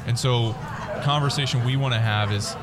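A man's voice: mid-range voice, steady tone, moderate pace, loud music behind the voice, a loud crowd. A man being interviewed as a company representative, explaining his product in a conversational way. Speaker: interview guest, company representative pitching school software.

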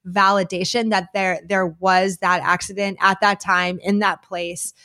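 A woman's voice: mid-range voice, flat delivery, a little slow, gravelly, pushed and bright. A woman hosting a podcast who chats casually and rambles, with many fillers. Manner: rambling, full of "like" and "you know". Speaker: podcast host. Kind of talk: casual chat.

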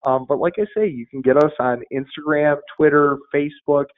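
A man's voice: on the high side, a little fast. A man guesting on a podcast, talking in a casual, upbeat way, often repeating words as he plugs his show. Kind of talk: casual promotional interview talk. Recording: phone-like band-limited audio.

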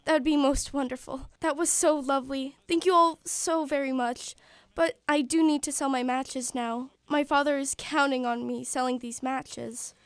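A woman's voice: high voice, fairly even intonation, moderate pace, dry recording. A woman playing a poor, polite, hopeful young match girl in a radio play, speaking earnestly and formally. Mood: polite, grateful. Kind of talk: stage-style radio play acting.

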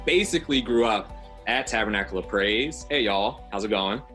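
A man's voice: lowish voice, expressive, normal speed, bright, forceful tone, soft background music. A man in his late twenties hosting a panel conversation in long, flowing sentences. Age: late 20s. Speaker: podcast host.

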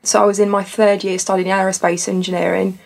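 A woman's voice: medium pitch, flat delivery, brisk, vocal fry, a little mellow. A young woman from Tunisia, a student society founder, talking earnestly and reflectively in a podcast conversation.

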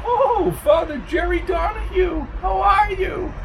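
A man voicing a giddy, flighty woman in an audiobook with shrill, gushing, giggly delivery. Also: measured pace, creaky, a faint crowd, a little hiss or hum, full character voice, poor audio.

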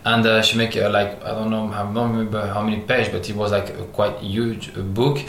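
A man from Algeria who grew up in France, a French speaker talking as an artist guest in an interview, pausing often and searching for English words. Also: lowish voice, level tone, fairly bright tone. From Algeria, raised in France. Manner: many pauses and restarts, searching for English words. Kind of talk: halting interview answers. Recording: echoey room with faint noise.

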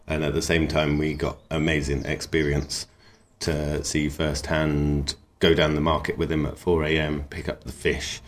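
A man with a very deep voice talks in a relaxed, rambling way, clearly enthusiastic about his work. A faint hiss of noise sits under the recording. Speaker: knifemaker and cook. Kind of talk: relaxed interview talk.

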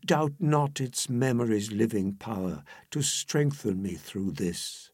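A devoted noblewoman acted out by a man pleads in anguish, in declamatory verse. The voice is husky and slow, full of impassioned resolve. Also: fairly low pitch, lively intonation, a little mellow, no room sound, clean studio sound, heavily acted. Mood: tender, exhorting. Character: devoted, anguished noblewoman pleading to stay with her dying husband. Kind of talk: dramatic verse recitation.

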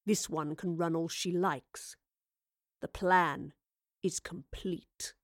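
Woman acting a smug, slow-talking sinister male villain. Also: fairly low pitch, no echo, clean studio sound, pitched below the performer's own voice. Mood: stony, smug. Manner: slow, mockingly sincere, unhurried. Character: sinister male villain, smug, mock-tender and coldly menacing.

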